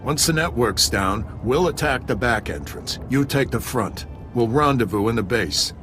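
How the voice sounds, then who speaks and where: A man's voice: medium pitch, animated, normal speed, over music, close to an everyday voice. An actor voicing a battle-weary male army sergeant with clipped military delivery in a sci-fi video game. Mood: resolved.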